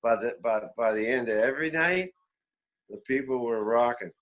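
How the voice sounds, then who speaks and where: A Canadian man in his seventies telling rambling, animated stories in a casual, reminiscing way as an interview guest. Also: medium pitch, moderate pace. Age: 70s. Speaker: veteran musician, interview guest. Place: remote online call.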